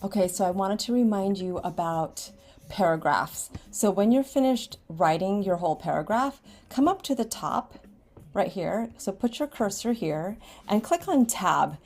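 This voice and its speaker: An American woman with a medium-pitched voice talks as a writing teacher in a screen tutorial. She gives simple, step-by-step instructions and often checks in with a short okay. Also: animated, unhurried, a little mellow. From Washington State, United States. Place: indoors at a computer, screen recording with webcam.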